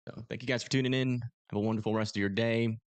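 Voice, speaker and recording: Hoarse man rambling over live radar. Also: medium pitch, fairly even intonation, quick pace, no echo. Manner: casual, rambling, self-deprecating. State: hoarse, strained voice. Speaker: storm-chasing livestream host. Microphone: close desk microphone.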